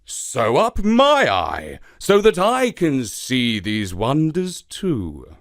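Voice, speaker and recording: A man voicing a big, lazy, gullible male leopard in a children's folktale, speaking in a languid, drawn-out way. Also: mid-range voice, wildly swooping pitch, dry recording, clean studio sound, heavily acted. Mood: languid, admiring.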